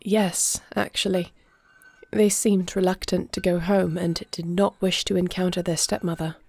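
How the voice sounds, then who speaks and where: A woman's voice: on the low side, little pitch movement, unhurried, gravelly, darkish tone, slightly rough, exaggerated voice. A woman playing a regal, anguished but resolute queen with formal, commanding diction in a fantasy audio roleplay. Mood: anxious, resolved.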